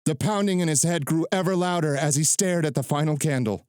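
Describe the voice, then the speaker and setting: A man reading literary fiction as a narrator, in a measured, dramatic storytelling delivery close to the microphone. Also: a little high, a little mellow, clean tone, no room sound.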